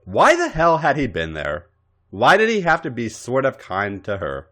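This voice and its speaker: A man's voice: mid-range voice, wildly swooping pitch, moderate pace, loud music behind the voice. A man narrating fan fiction for a podcast in a dramatic, comic storytelling style.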